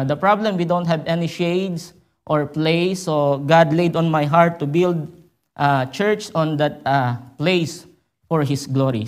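A man from the Philippines with a Filipino accent, giving a halting church report as a visiting missionary, full of fillers and restarts. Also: fairly high pitch. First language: Filipino language. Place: church sanctuary.

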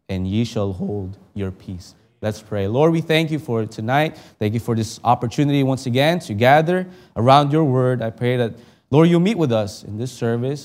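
A young man from the Philippines preaching with earnest conviction, his voice sitting a touch higher than most men's. He reads scripture aloud and prays, lifting his voice for emphasis and dropping it low and soft when he prays. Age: young adult. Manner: earnest, heartfelt delivery that rises for emphasis and drops soft in prayer. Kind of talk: sermon with scripture reading and prayer.

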